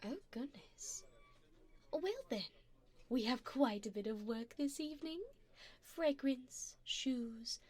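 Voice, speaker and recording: Woman playing a doting, elegant vampire sugar mommy, high lilting voice. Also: exaggerated voice. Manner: coaxing, refined, pampering endearments. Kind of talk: ASMR romantic audio roleplay.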